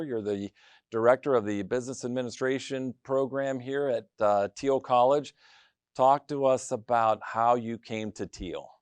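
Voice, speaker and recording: A man in his fifties hosting a studio interview show, mid-pitched and friendly. The sound is dry and close, and he chats easily, dropping in quick "okay"s and "yeah"s. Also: clear, resonant tone, dry recording. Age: 50s. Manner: friendly, chatty with quick acknowledgments. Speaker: host. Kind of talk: scripted intro then casual interview. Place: college TV studio.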